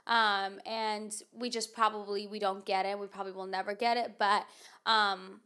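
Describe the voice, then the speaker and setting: A woman's voice: mid-range voice, level tone, moderate pace, sharp tone, smooth. A woman hosting a podcast in casual chat, with a nasal voice and a rambling, filler-heavy way of talking. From United States. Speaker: podcast host.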